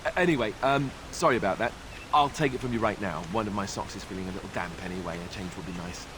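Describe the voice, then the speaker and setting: Low-voiced, sheepish young warrior played by an actor. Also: male voice, fast, noisy background, close to an everyday voice. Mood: sheepish joking. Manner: sheepish, flippant, hesitant. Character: awkward, joking young male templar warrior. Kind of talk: video game dialogue acting.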